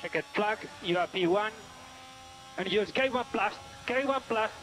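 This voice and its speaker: A man's voice: high voice, normal speed, a bit bright. A man working as a race engineer, giving terse callouts to a driver over team radio. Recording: noisy motor racing team radio. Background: vehicle and engine noise.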